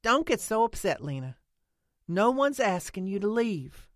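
A woman's voice: mid-range voice, big pitch swings, a little slow, no echo, studio quality, pitched above the performer's own voice. Woman acting a caring, direct middle-aged country friend. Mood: soothing. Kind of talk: audiobook character acting.

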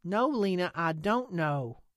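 A woman's voice: fairly low pitch, expressive, slow pace, no echo, clean studio sound. A woman voicing a level-headed rural woman who asks blunt, doubtful questions. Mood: incredulous.

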